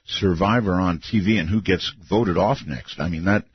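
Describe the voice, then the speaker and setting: An older man with a deep voice hosting a late-night radio interview, asking long, meandering questions and laughing often. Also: moderate pace, slight background noise. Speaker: radio talk show host. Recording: muffled lo-fi radio archive.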